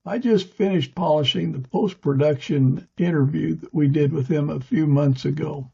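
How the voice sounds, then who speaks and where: A man's voice: fairly high pitch, moderate pace, vocal fry, mellow, breathy edge, dull audio. A man from the United States hosting a conversational interview podcast.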